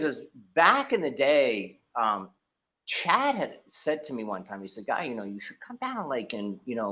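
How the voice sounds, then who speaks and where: Bright-voiced Boston man telling stories. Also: on the low side. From New England, United States. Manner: rambling, many restarts and fillers. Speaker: guest. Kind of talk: casual podcast chat.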